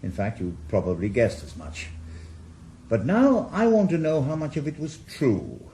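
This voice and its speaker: A man's voice: mid-range voice, wildly swooping pitch, normal speed, dark tone, a little hiss or hum. An actor in a radio drama playing a retired male detective who is blunt and probing, with dry humour. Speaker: ex-policeman investigator.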